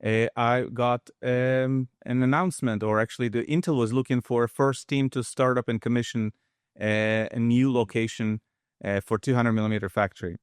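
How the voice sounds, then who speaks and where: A man in his fifties from Russia, a guest expert speaking in a measured, halting way with frequent restarts. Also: mid-range voice, fairly even intonation, smooth, dry recording, clean studio sound. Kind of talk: casual interview talk.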